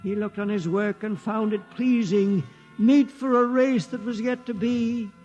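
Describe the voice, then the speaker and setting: An old solemn keeper of legend. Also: male voice, high-pitched, fairly even intonation, a little mellow, highs cut off, soft background music. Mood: solemn chanting. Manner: slow, intoning recitation. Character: aged male keeper of sacred ship legend, solemn. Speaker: old-time radio drama actor. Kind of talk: 1950s radio drama acting.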